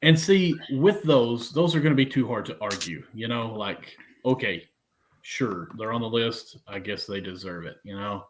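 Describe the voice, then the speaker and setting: A man's voice: on the low side, animated, normal speed, a little hiss or hum. A man hosting a casual sports podcast, joking and steering the conversation along. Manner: joking, keeps the show moving. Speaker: podcast host.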